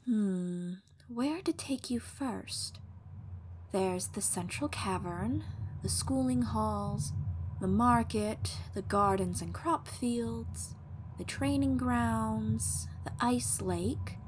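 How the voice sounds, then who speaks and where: A woman playing an elven ice sorceress, a gracious, formal and gently proud guide speaking unhurriedly to the listener in an audio roleplay. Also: fairly high pitch, drawn-out words, noisy background. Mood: gentle, inviting. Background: music.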